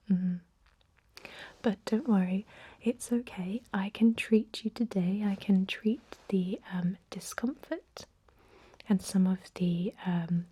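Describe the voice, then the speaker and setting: A woman playing a thousand-year-old vampire medic in an ASMR role-play, whispering breathily in a soothing, unhurried manner with murmured hums. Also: mid-range voice, mellow, slightly rough. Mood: reassuring.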